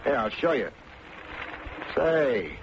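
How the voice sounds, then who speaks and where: A man acting a wry, unflappable private detective in an old-time radio drama, with a deadpan, sardonic delivery. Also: mid-range voice, takes it slowly. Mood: defiant, sardonic. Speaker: lead actor and narrator of a detective serial. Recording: muffled, noisy vintage radio recording.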